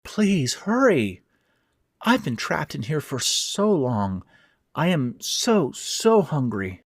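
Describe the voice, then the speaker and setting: Man putting on a high, sweet, pleading monster woman. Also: big pitch swings, takes it slowly, no room sound, clean studio sound, exaggerated voice. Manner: supplicating, coaxing, genteel old-fashioned speech. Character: monstrous spider-woman feigning a sweet, pleading female captive. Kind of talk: horror audio fiction reading.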